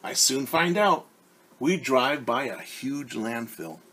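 A man's voice: mid-range voice, lively intonation, slow, sharp tone, husky. A man in his forties reading a picture book aloud as a teacher, stopping to explain things simply and ask the children questions. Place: living room at home, indoors. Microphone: device camera at about arm's length.

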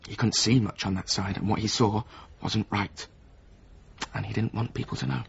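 An actor playing a weary, resentful former apprentice of a painter in a naturalistic radio drama, with a husky voice. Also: male voice, lowish voice, fairly even intonation, moderate pace, slight background noise. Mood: grave, hushed. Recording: slightly muffled radio drama recording.

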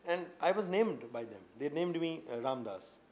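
A man in his late thirties from India, with an Indian accent, answering interview questions earnestly with many halting fillers and restarts. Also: a little high, expressive, darkish tone. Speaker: poet guest. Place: indoor room with tapestries and a sofa.

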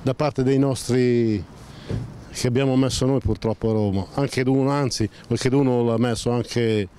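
A man in his sixties answering a street interview outdoors, halting and restarting words as he grumbles. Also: medium pitch, steady tone, warm tone, slight background noise. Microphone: handheld mic held by interviewer.

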